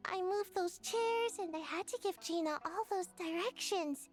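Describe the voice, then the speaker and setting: A woman's voice: extremely high pitch, normal speed. A woman voicing a sleepy, lazy little ninja girl in a video game, drowsy and a bit defensive, with game music behind.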